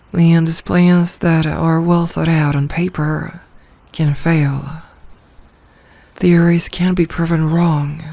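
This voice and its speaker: A possessive werewolf pack leader, acted by a woman in an ASMR fantasy roleplay. She speaks very slowly and deliberately, in formal, commanding phrases. She is a grown woman who rules her pack and is devoted to her mate. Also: deep voice, vocal fry, mellow, dry recording, heavily acted.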